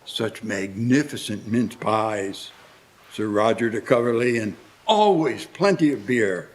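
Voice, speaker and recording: A man's voice: fairly low pitch, animated, a little slow. A man acting as a wistful old miser in a live radio play, emotional and given to exclaiming. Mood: fond nostalgia. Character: wistful, excitable old male miser softened by memories.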